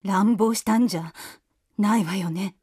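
A woman acting a fierce but soft-hearted younger sister in a radio drama. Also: medium pitch, normal speed, husky, lightly acted. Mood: anxious, soft.

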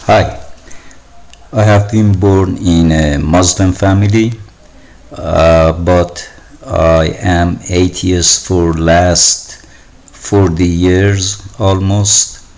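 A man's voice: deep voice, steady tone, very slow, a little mellow. A middle-aged or older man leaving an informal spoken message about himself. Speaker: voicemail caller.

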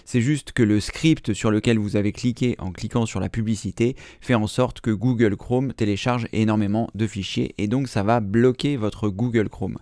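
A man's voice: on the low side, fairly even intonation, normal speed, few pauses, a little mellow, no room sound, studio quality. A man in his thirties hosting a computer tutorial, explaining step by step with patient fillers. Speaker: computer training host. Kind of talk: screen-recorded tutorial.

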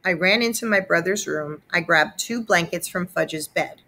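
A woman narrating a children's story aloud in an expressive read-aloud style. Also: on the low side, normal speed.

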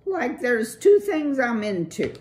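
A woman's voice: a little high, big pitch swings, slow, darkish tone, voice pushed up. A woman voicing a cool, slang-talking young male city mouse with a laid-back, breezy drawl. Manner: laid-back surfer slang, keeps saying "dude".

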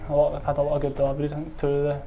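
A young Scottish man speaks quietly and modestly after a match, pausing often with fillers. His voice sits a little high but stays flat and mellow. A faint background hum runs under the indoor recording. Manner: soft-spoken, modest, hesitant with fillers. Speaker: footballer. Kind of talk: post-match interview. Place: indoors in front of a club sponsor board.

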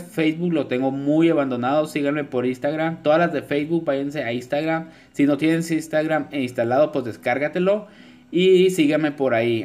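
A man narrating a nail art tutorial over the footage, chatty and informal, full of fillers and asides to viewers. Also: mid-range voice. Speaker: nail artist teaching acrylic nail design.

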